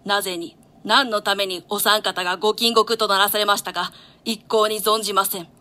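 Woman as a bright-toned, deferential court attendant. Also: medium pitch, fairly even intonation, moderate pace, close to an everyday voice. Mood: respectful, solemn. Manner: formal, humble archaic courtly speech. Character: deferential, solemn court elder and messenger. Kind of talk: classical stage play reading.

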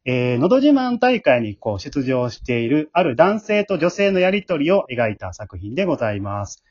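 A man's voice: medium pitch, big pitch swings, moderate pace, darkish tone, muffled recording. A man hosting an amateur theatre group's radio drama show, introducing it in a polite, chatty way. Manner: polite, casual.

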